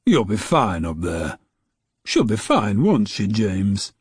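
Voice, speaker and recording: A man voicing a gentle, kindly snowman in a storybook, speaking slowly and calmly. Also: breathy edge, no echo, clean studio sound, full character voice. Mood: reassuring. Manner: slow, low and calm.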